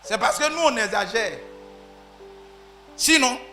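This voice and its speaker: A man preaching a sermon as pastor, swinging from shouted peaks to quiet asides. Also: very high voice, animated, slow, faint music behind.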